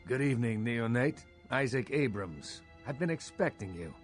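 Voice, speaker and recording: An actor playing a proud, scornful vampire baron in a video game, speaking formally with a sardonic, imperious manner. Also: male voice, medium pitch, expressive, moderate pace, faint music behind, close to an everyday voice. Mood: courteous authority. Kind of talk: dramatic video game acting.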